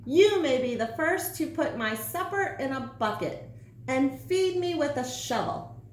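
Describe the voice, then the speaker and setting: A woman's voice: high-pitched, expressive, unhurried, pitched above the performer's own voice. A woman voicing a pushy, crowing female witch in a children's storybook, demanding and grandly commanding. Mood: commanding, gleeful. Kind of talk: children's storybook read-aloud. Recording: echoey room, faint background noise.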